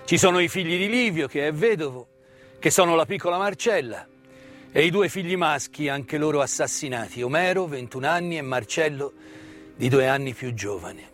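A male stage storyteller with a fairly high voice performs a scripted narrative, with faint music behind him. He speaks in a measured theatrical manner, setting phrases apart with deliberate pauses. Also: animated, a bit bright. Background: live instrumental music.

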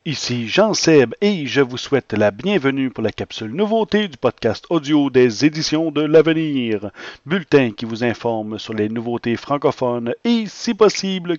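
A male podcast host speaks at a slow pace and a mid pitch. His phrasing is earnest and rather florid, and the recording is very dry and slightly dull. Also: darkish tone, no room sound. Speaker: podcast segment host and interviewer. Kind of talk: scripted podcast presentation and informal interview.

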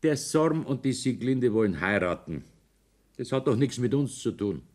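An actor playing a scheming, self-assured businessman, husky and insistent, with false friendliness, in a 1960s radio play. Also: mid-range voice, animated, moderate pace, a little mellow, lightly acted. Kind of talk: 1960s German radio drama acting.

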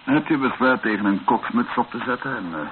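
An actor playing an earnest, resourceful hero in a war radio drama, speaking deliberately and persuasively. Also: male voice, medium pitch, phone-quality sound, close to an everyday voice. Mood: earnest, planning. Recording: band-limited old radio recording, faint noise.

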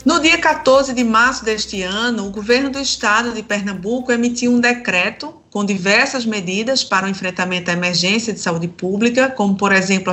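A woman's voice: medium pitch, sharp tone. A Brazilian woman presenting and interviewing as a radio host, in a scripted presenting style.

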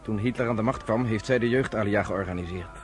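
An actor playing an earnest, self-assured young man of the underground in a Dutch radio play, with a measured way of explaining things. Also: on the low side, soft background music, close to an everyday voice.

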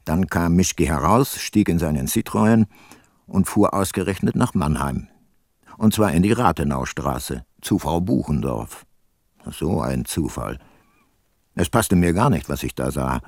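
A shrewd older private detective from a radio drama, with a low, closely dry-recorded voice. He is self-assured and probing, with a measured, dry delivery. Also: expressive, dry recording, exaggerated voice. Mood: reflective, dry. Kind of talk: radio play narration and dialogue.

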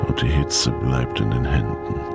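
A man acting in a radio play as a brooding inner voice, speaking slowly and poetically in a hushed, gravelly tone. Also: extremely low pitch, full character voice. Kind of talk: literary radio play monologue in German. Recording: loud music under the voice.

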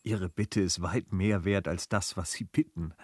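An actor playing a modest, reserved gentleman traveller in a German radio play, polite, measured and gently ironic. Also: low-pitched, fairly even intonation, breathy edge, dry recording, clean studio sound. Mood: courteous, principled.

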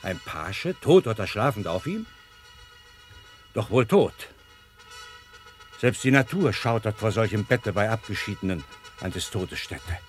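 Noble commander with sweeping delivery. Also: male voice, on the low side, lively intonation, a little slow, pauses often, soft background music. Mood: solemn, grave. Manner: solemn, declamatory. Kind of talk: classic stage-like radio drama acting.

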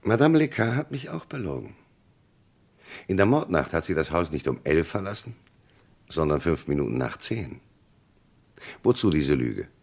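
An actor playing a gruff, probing police commissioner in a German radio crime drama, with a gravelly, husky voice and an insistent, commanding manner. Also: male voice, very deep voice, very animated, normal speed, pauses often, darkish tone, full character voice. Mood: stern, pressing.

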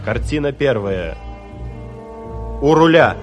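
Slow, solemn declaiming narrator. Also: male voice, mid-range voice, expressive. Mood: solemn, proud. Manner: solemn, emphatic, measured. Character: solemn, proud male narrator declaiming a verse prologue. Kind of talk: theatrical verse declamation and scene announcing. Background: music.

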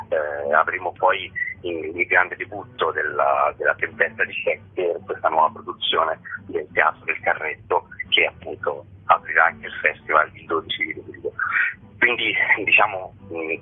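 A man's voice: deep voice, slightly rough. A man explaining things as an interview guest, hesitantly searching for words, over a phone-like radio line. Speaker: festival artistic director, interview guest.

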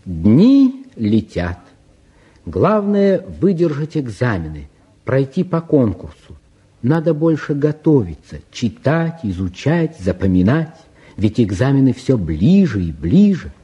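Dark, husky male storyteller. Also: medium pitch, dull audio. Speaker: narrator of a radio play. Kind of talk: expressive scripted narration.

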